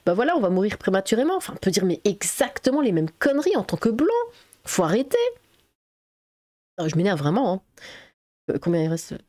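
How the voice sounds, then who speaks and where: A French woman with a relaxed, mellow timbre at a middling pitch, recorded very dry. She reads an article aloud in a casual way, breaking off into exasperated, sarcastic commentary of her own. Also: fairly quick, long pauses, no room sound. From Alsace, France. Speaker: online commentator.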